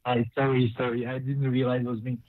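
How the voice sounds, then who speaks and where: Man, caller, halting and hesitant, tinny phone line. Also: mid-range voice, little pitch movement, no echo. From Romania. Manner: halting, hesitant, searching for words.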